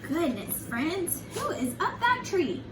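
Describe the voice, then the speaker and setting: A woman reading a picture book to young children like a preschool teacher, in an animated, sing-song, encouraging way with simple questions to her listeners. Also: extremely high pitch, takes it slowly. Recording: echoey room, distant mic, noisy background.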